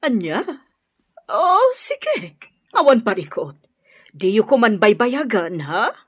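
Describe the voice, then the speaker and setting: A woman's voice: fairly high pitch, sing-song, slow pace, breathy edge, dry recording, dull audio, exaggerated voice. A woman playing a frank, matronly, well-off mother of a grown son in an Ilocano radio drama, with an Ilocano accent. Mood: composed, consenting.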